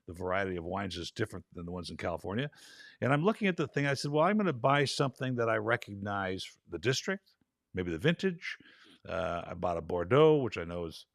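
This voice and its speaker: A male host in a casual interview chat, a little raspy from travel, chatty and rambling. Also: low-pitched, no room sound, clean studio sound. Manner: chatty, rambling, laughs easily.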